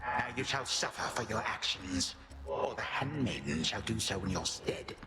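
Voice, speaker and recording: An actor playing a menacing, condescending ancient Titan grandfather in a video game, with slow, archaic phrasing. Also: fairly low pitch, animated, heavy background noise, natural voice. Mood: cold menace. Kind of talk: dramatic video game acting. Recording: game music behind voice.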